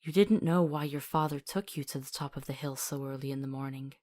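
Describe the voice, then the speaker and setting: A woman's voice: extremely low pitch, steady tone, a little fast, darkish tone. A woman playing a compassionate, earnest and stubborn companion to a monster hunter in a visual novel. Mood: gentle, soothing.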